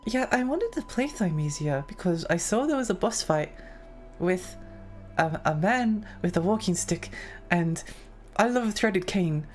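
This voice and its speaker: A woman's voice: fairly low pitch, animated, measured pace, darkish tone, faint music behind. A young woman streaming and chatting with her viewers in a rambling, giggly way, her voice a little worn. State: losing her voice. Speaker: livestreamer reading chat. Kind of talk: casual chat with viewers.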